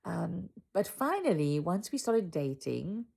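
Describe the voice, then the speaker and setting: An older woman with a South African accent talks straight to her viewers, wandering into asides as she reminisces. Her voice sits a little low and carries a gravelly fry. She sounds unhurried and conversational, recorded at home. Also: very animated, darkish tone. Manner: chatty and reflective, drifting into asides. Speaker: channel host. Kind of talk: casual personal storytelling to camera. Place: home room with a Christmas tree.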